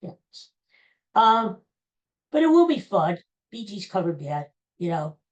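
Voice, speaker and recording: A woman's voice: medium pitch, sing-song, slow pace, darkish tone, lo-fi sound. A woman in her sixties hosting a solo podcast, rambling in a chatty way and talking straight to her listeners. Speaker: podcast host. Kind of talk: casual solo podcast monologue.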